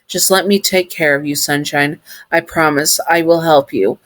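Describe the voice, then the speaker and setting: A storyteller voicing a gentle, protective male robot caretaker who speaks softly and coaxingly. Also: mid-range voice, lively intonation, moderate pace, no echo, voice pushed up, close to an everyday voice. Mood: soothing. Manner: soft, soothing, coaxing. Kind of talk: fan story reading.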